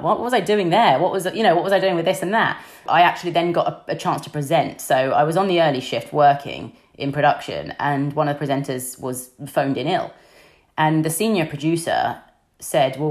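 Young woman, deep husky low voice, chatty and candid interview guest, lots of fillers. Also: expressive, brisk. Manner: chatty and candid, many fillers like "you know". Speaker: sports news presenter, podcast guest. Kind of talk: casual interview chat.

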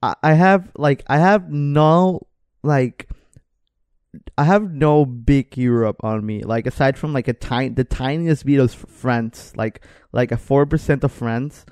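A man's voice: medium pitch, measured pace, darkish tone, clear, resonant tone, no room sound. A young man co-hosting a podcast in casual chat, joking around with slang and swearing. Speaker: podcast co-host.

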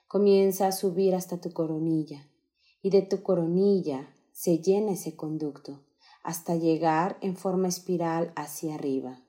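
A woman guides a meditation in a fairly low, soft-toned and mellow voice. Her delivery is very slow and calm, with unhurried phrases and pauses between instructions.

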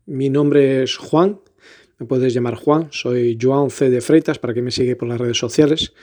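Earnest man with a mellow voice. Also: mid-range voice, fairly even intonation, a little slow. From Portugal. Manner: rambling and earnest, with restarts. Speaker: podcast host, photographer. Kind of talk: casual solo podcast monologue.

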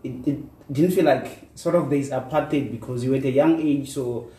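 A man's voice: mid-range voice, normal speed, warm tone. Echoey South African man, slightly distant. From rural South Africa. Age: 30s. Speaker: podcast guest. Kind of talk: casual reflective interview answers. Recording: roomy echo, distant mic, faint noise.

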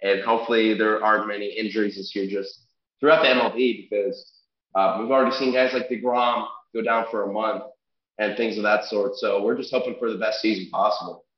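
A man's voice: fairly low pitch, steady tone, brisk. Congested young man, echoey lo-fi sound. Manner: conversational, frequent ums and restarts. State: congested nasal voice. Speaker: sports podcast co-host. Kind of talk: casual sports chat. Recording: echoey room, dull lo-fi sound.

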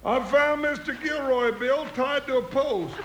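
High raspy drawling western sidekick. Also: male voice, a little slow. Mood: earnest. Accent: American. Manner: drawling, folksy talk. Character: good-natured folksy comic western sidekick, a deputy. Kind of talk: 1950s radio western acting. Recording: noisy old-time radio recording.

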